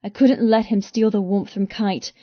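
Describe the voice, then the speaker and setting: Female audiobook narrator, medium pitch, intense first-person romance delivery, expressive, dry close booth, slightly dull recording. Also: moderate pace, no echo.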